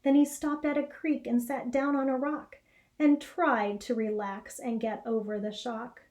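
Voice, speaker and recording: A middle-aged woman reading a children's story aloud in an expressive, measured storytelling manner. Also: on the high side. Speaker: storybook reader. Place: small indoor classroom or office.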